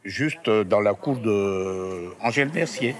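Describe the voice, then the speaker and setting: A man taking French classes, giving simple reportage lines and interview answers, often pausing with fillers as he searches for words. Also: fairly low pitch, lively intonation, very noisy.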